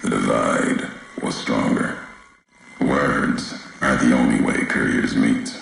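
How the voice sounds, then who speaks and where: An actor playing a grim, brooding wasteland courier in a video game, raspy and solemn, speaking in clipped, cryptic phrases. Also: male voice, slow pace, background noise, full character voice. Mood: grave, foreboding. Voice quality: very deep, gravelly, raspy. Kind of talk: scripted video game dialogue.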